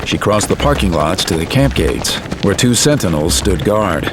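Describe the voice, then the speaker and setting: A man narrating a scripted audio drama in a serious, measured storytelling manner. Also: low voice, big pitch swings, background noise.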